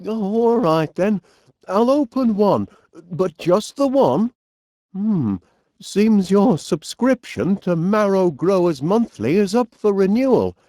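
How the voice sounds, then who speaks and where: An actor playing a cheery, absent-minded middle-aged inventor with a Northern English accent, bumbling and chatty in an animated comedy game. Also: male voice, high-pitched, slow, no echo, studio quality, exaggerated voice. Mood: genial musing. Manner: bumbling, chatty, hesitant, mild exclamations.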